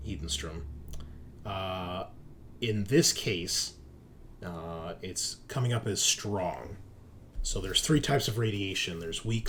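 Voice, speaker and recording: A man running a tabletop roleplaying game as game master, describing scenes in a halting, improvised way. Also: deep voice, fairly bright tone, slight background noise. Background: background music.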